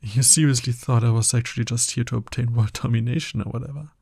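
A man's voice: mid-range voice, fairly even intonation, quick pace, mellow, slightly rough, no echo, studio quality. A man playing a smug, dominant villain in an ASMR roleplay, taunting his hero nemesis with drawling exasperation. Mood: scornful, wry.

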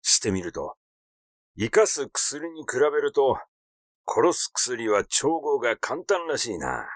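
A man's voice: mid-range voice, sing-song, slow pace, no echo, clean studio sound. A man voicing a cynical, blunt and grumbling male character in a mystery audiobook. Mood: sardonic.

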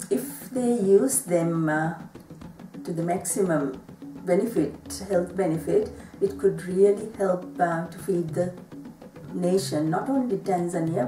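Middle-aged lady walking through a recipe real slow, lots of ums, roomy kitchen sound, music behind. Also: lowish voice, warm tone, far from the mic. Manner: measured, explanatory, with frequent pauses and fillers. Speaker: nutritionist giving a cooking demonstration. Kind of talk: unscripted cooking demonstration. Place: home kitchen, indoors.

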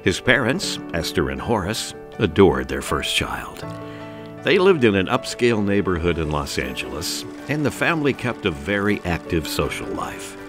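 A man's voice: low voice, very animated, normal speed, music in the background. A man reading scripted narration for a documentary.

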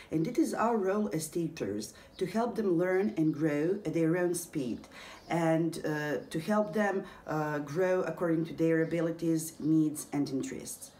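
A woman's voice: deep voice, mellow. A woman from Serbia, an English teacher, reading a scripted presentation carefully, with small hesitations. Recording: echoey room with faint background ambience.

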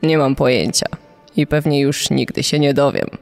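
A man's voice: mid-range voice, normal speed, creaky, darkish tone, lightly acted. A man voicing a bitter, resigned sibling's testimony in a dramatised documentary. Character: sibling recalling a family injustice, bitter and resigned. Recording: music under the voice.

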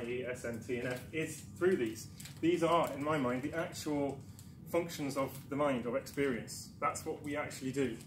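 A man from Newcastle giving a conference talk, chatty and self-deprecating, with many ums and restarts. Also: fairly high pitch. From Newcastle, England. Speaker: conference speaker. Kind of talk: presentation talk. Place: darkened lecture room. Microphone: far camera. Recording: echoey room with background noise.

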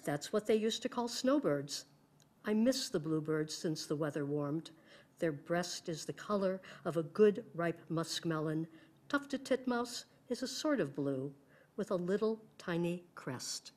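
A woman's voice: on the low side, expressive, unhurried, darkish tone. An older woman reciting a chatty poem aloud at a podium microphone. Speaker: amateur reader in a reading-aloud group. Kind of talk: expressive poetry recitation. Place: library meeting room, indoors.

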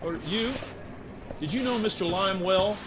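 An actor playing a blunt, dogged writer in a radio drama, speaking in a direct, questioning and insistent way. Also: male voice, high voice, measured pace, background noise. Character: dogged, blunt American writer probing a friend's death.

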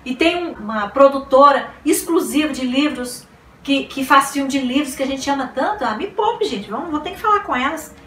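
Woman in her 40s, vlog host; high, bright, excitable; roomy, slightly distant room sound with faint hiss. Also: normal speed. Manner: gushing, exclaims and repeats words. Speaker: book vlogger. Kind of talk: casual chat to camera. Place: small decorated room indoors.

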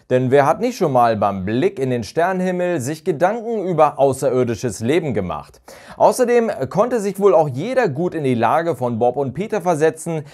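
A man's voice: fairly high pitch, darkish tone. A man in his thirties narrating a semi-scripted review as an online reviewer, recorded indoors on a lapel mic. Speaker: YouTube reviewer of audio dramas. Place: small furnished living room or den indoors.

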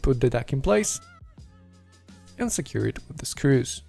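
Male tech presenter for scripted tutorial voice-over, noticeable vocal fry, music bed underneath. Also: mid-range voice, big pitch swings, a little slow, a little mellow. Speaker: tech video host. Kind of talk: scripted how-to voice-over narration. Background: background music.

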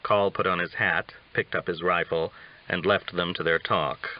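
A man's voice: low voice, steady tone, normal speed. A man narrating a novel as an audiobook reader, in a scripted storytelling style. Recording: slightly dull, lo-fi audiobook recording.

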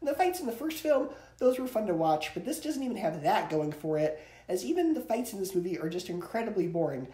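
A man's voice: high voice, sing-song, brisk, hardly any pauses, dark tone. A young man giving an emphatic, opinionated ranked movie review to camera in a room at home. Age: young adult. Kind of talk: ranked-list video review, opinionated commentary. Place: small indoor room at home. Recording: roomy indoor echo.

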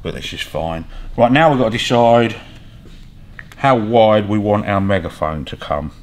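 A man's voice: on the low side, lively intonation, measured pace. A middle-aged man giving a casual hands-on tutorial in an offhand way, often tagging questions onto his remarks. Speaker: workshop mechanic demonstrating metal fabrication. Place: large garage workshop, indoors. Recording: clear background noise in workshop.

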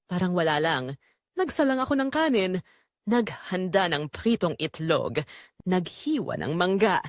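A woman's voice: fairly low pitch, no echo. A woman acting as a Filipina wife and mother bares her guilty secret in a confessional audio drama. Her intonation rises and falls freely in an intimate, dramatic delivery. The sound is dry and close, with a Filipino accent. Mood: wistful, restrained. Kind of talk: first-person confessional audio drama narration in Tagalog.

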